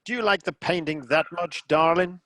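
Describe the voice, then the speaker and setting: A man's voice: fairly high pitch, a bit bright, no echo, clean studio sound, close to an everyday voice. Man playing a brash, blustering rich husband. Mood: doting. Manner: brash, mocking, quick to threaten. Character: blustering, sarcastic, bullying rich American husband. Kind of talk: comedy radio play acting.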